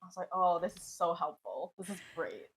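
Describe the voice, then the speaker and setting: A woman's voice: fairly low pitch, very animated, a little fast, a bit bright, slight background noise. A woman who grew up in Canada, talking as a podcast guest in an easygoing way, often laughing. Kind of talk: casual podcast chat.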